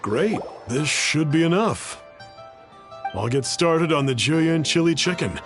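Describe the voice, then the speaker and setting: A man's voice: fairly high pitch, lively intonation, slow pace. A man playing a plain-spoken townsman and home cook in a video game, talking in an earnest, conversational way. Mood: earnest, helpful. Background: music.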